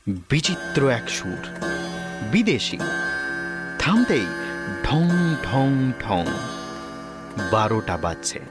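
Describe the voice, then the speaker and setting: A man reading a detective story as scripted, dramatic narration. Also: medium pitch.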